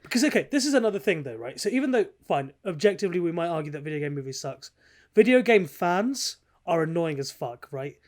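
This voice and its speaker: Higher-voiced man giving casual opinions. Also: very animated, fast. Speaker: podcast co-host. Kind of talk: casual, opinionated podcast chat.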